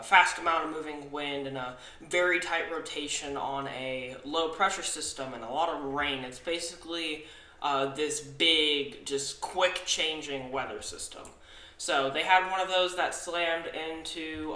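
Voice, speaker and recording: A tired young man with a Southern US accent hosting a casual weather forecast, halting through lots of fillers and restarts. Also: fairly high pitch, sharp tone. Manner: halting, many fillers and restarts, self-corrects. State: tired after little sleep. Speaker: weather forecaster, channel host. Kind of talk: casual forecast walkthrough over weather maps. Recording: echoey room.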